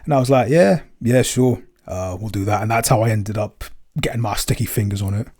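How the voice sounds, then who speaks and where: A man chatting casually in a behind-the-scenes Q&A as a producer and composer, with a halting, self-effacing way of talking. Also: fairly low pitch, darkish tone.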